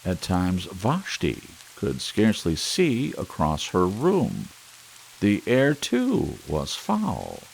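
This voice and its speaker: A man narrating a story as a sleep audiobook in a measured, dramatic literary style with deliberate pauses. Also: low voice, low-quality recording. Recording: clear steady rain hiss behind voice. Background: rain sounds.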